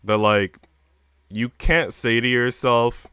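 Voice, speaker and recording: A man's voice: medium pitch, fairly even intonation, slow pace, sharp tone, smooth, no room sound, clean studio sound. A man livestreaming, chatting casually with viewers in a rambling, slangy way with casual swearing. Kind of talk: casual chat with stream viewers.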